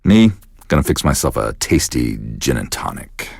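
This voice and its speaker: Casting: sour, deadpan American divorced DJ, middle-aged, low register with lively swings, close dry studio sound. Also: male voice, moderate pace, vocal fry, breathy edge, dry recording, heavily acted. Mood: weary, sardonic. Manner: deadpan, sardonic, profane. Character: bitter, self-pitying divorced middle-aged male radio DJ. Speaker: radio DJ. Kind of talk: casual radio DJ patter.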